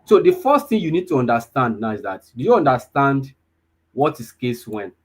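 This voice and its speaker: A young man walks a live online class through a lesson, his voice husky and a little rough around the edges. He keeps repeating key points and asking if everyone is following, and his pitch sits squarely in the middle range for a man. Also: sing-song, moderate pace. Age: 20s. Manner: repetitive, checks in with listeners often. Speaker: data analysis instructor.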